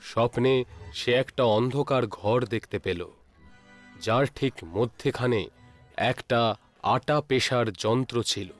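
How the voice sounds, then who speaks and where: A man narrating a scripted horror story in a measured, dramatic storytelling manner. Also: mid-range voice, soft background music.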